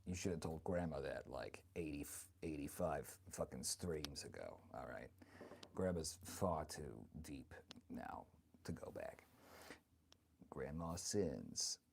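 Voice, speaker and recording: A bawdy, doting old grandma played by a man, with an extremely low voice that swings around in pitch. She rambles slowly and haltingly through live stream banter, fussing and calling everyone honey. Some noise sits behind the voice. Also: expressive, vocal fry, a little mellow, full character voice. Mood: mock weary. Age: older. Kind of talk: improvised comic chat with live stream viewers.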